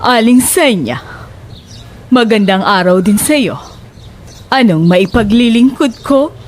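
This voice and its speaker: A woman playing an older, eerily welcoming apartment landlady in an audio horror drama, speaking in a slow, drawn-out way. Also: fairly high pitch, lively intonation, slight background noise.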